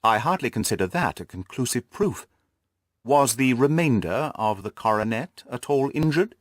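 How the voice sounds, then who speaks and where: A composed storybook detective voiced by a man, incisive and analytical. He questions precisely and emphatically, with pitch that rises and falls a great deal. The recording is close and dry. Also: animated, moderate pace, dry recording, natural voice. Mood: probing. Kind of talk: audiobook character acting.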